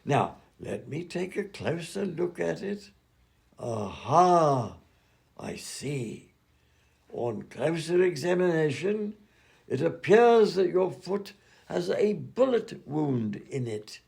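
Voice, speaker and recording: A man's voice: fairly high pitch, big pitch swings, takes it slowly, gravelly, darkish tone, heavily acted. A man playing an absent-minded, fussy and polite mad doctor and inventor in a comedy radio play. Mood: breezy, fussy.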